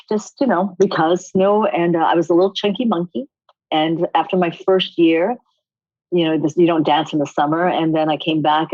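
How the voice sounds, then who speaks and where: A middle-aged woman from Rhode Island, a choreographer telling stories in a casual interview, expressive and quick to laugh. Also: lowish voice, no room sound, lo-fi sound. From United States, Rhode Island. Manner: animated, expressive storyteller who laughs often. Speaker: guest choreographer.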